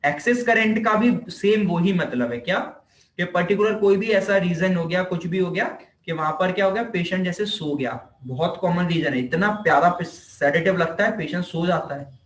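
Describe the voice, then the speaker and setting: High-pitched Indian man lecturing, echoey room. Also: normal speed. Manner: conversational, repeats points, asks rhetorical questions; mixes in English technical terms. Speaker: physiotherapy lecturer. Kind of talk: informal explanatory lecture.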